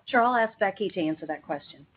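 A woman company executive giving formal, measured, somewhat halting answers on an earnings call. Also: mid-range voice, lively intonation. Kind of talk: formal business question-and-answer. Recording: tinny narrow phone line.

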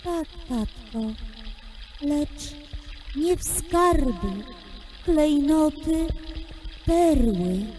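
A woman playing a young, loving sea princess in a children's radio play, speaking tenderly and earnestly in measured phrases. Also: high voice, expressive, a little mellow, soft background music, full character voice. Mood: earnest, pleading.

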